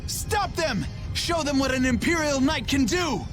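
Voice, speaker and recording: A man playing a dutiful, earnest young knight commander in an anime game dub, crisp and commanding, with music behind. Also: extremely high pitch, expressive, measured pace. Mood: urgent commanding.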